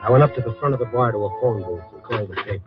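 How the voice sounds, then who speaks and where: A man's voice: fairly low pitch, very fast, over loud music, lightly acted. Man acting a wry radio reporter, muffled vintage recording. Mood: hard-boiled narration. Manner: dry, sardonic, hard-boiled. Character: wry, world-weary Chicago newspaper reporter, first-person narrator. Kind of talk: 1940s radio drama acting.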